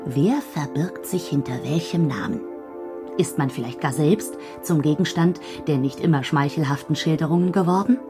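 A woman playing a wry chronicler of café society in a radio drama, narrating in a measured, knowing storytelling manner. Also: deep voice, lightly acted. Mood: curious, knowing.

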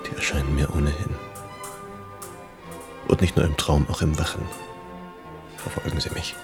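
An actor playing a weary, sickly Romantic poet in a literary radio play, speaking in a halting, pensive way. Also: male voice, extremely low pitch, level tone, over music.

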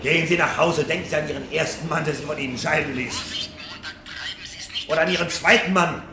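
A man's voice: on the high side, brisk, creaky, noisy background. An actor playing an agitated, bitter, rebellious fireman and husband in a 1970s radio play, speaking in an intense, declamatory way. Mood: furious. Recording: roomy studio radio play sound.

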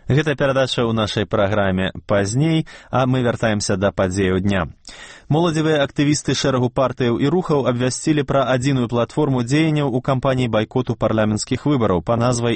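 A man presenting the news on radio in a measured, formal way. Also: mid-range voice, darkish tone, no room sound. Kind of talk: scripted news reading. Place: radio studio.

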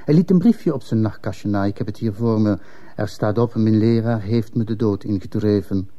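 Radio drama: stern male commissioner, played by a man, very dark lowish voice, formal and probing. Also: muffled recording, lightly acted. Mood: grave. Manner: formal, measured interrogation. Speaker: actor in a radio play. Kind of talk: Dutch-language radio drama acting.

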